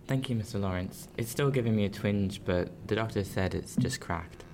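A man acts as a young sailor lad in a radio play, earnest and worried. The voice is very low, and the delivery is deferential and hesitant. Clear noise runs behind it. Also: normal speed, darkish tone, lightly acted. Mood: respectful, reserved. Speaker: actor. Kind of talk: radio drama acting.

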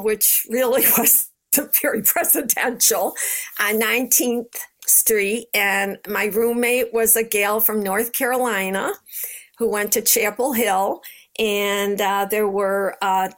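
An American woman in her seventies from New York state, recalling her past in an interview in an unhurried, conversational way. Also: medium pitch, a bit bright. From Rochester, New York, United States. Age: 70s. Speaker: interviewee, Red Cross veteran. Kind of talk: personal recollection in an interview.

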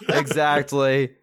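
A man's voice: medium pitch, a bit bright, clear, resonant tone. A man with a stuffy nose from a cold hosts a casual review chat, rambling and repeating words as he goes. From United States. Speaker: podcast host.

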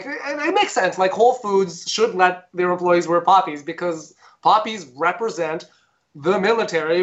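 A man's voice: high voice, a bit bright, muffled recording. A man co-hosting a podcast, talking in a rambling, sarcastic and sweary way. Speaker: podcast co-host. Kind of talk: casual opinionated chat.

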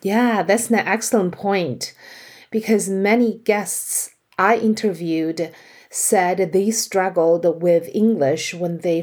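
Slow-speaking woman interviewer. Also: on the low side, dark tone, slightly rough. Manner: attentive, brief encouraging replies. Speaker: interviewer host. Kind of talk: interview podcast.

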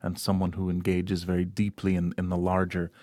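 A man interviewing a guest, asking careful, deliberate questions. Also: low-pitched, monotone, warm tone, no room sound, studio quality.

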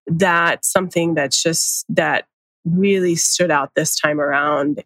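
A woman's voice: deep voice, dry recording, rough recording. A woman in her thirties with a light Pakistani accent, talking candidly in a casual conversation and laughing often. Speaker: podcast guest.

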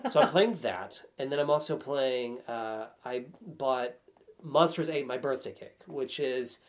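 A man talks casually about video games in a mid-pitched voice. His manner is wry and joking, like a guest on a relaxed talk podcast. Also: measured pace.

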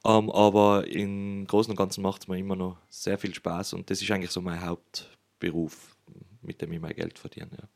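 A man's voice: sits low, flat delivery, normal speed, gravelly, darkish tone. A man with a Tyrolean Austrian accent, a musician chatting casually as an interview guest.

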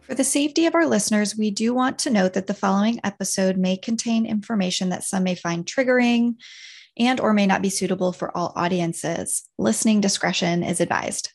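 A woman's voice: mid-range voice, brisk, vocal fry, faint music behind. A woman co-hosting a podcast, reading a content warning and asking friendly, prompting questions. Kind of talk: conversational interviewing.

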